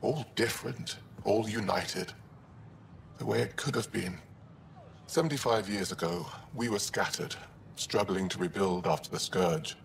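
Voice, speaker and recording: Gravelly, solemn alien ambassador. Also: male voice, on the low side. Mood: solemn, wary. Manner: measured, formal, solemn. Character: grave alien male ambassador, guarded but hopeful. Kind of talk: dramatic video game acting. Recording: roomy long reverb, faint background noise.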